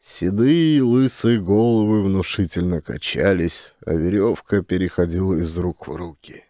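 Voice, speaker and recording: A man's voice: low voice, normal speed, dark tone, dry recording, clean studio sound. A man narrating a humorous literary story as a scripted audiobook reading.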